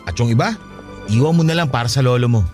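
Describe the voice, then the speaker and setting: A man's voice: medium pitch, quick pace, darkish tone, soft background music, close to an everyday voice. A man playing a defensive, exasperated poor father with a brusque, argumentative manner in a radio drama. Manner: brusque, argumentative, self-justifying. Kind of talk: radio drama acting in Tagalog.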